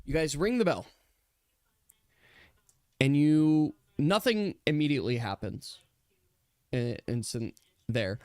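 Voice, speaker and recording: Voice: mid-range voice, lively intonation, takes it slowly, long pauses, darkish tone, dry recording. A game master with a mid-range voice and a dry, close recording. The delivery is casual and conversational, narrating a tabletop role-playing scene to the players.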